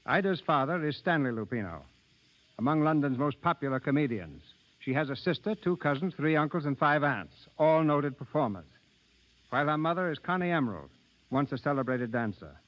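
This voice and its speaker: A man hosting a radio theatre, reading scripted 1930s narration in a formal, measured announcing manner. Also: medium pitch. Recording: muffled 1930s radio broadcast.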